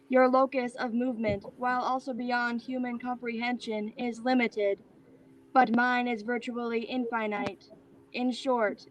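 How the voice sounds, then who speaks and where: An actress playing a serenely superior artificial spirit in a staged play reading, formal, precise and declamatory. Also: female voice, a little high, natural voice, rough recording.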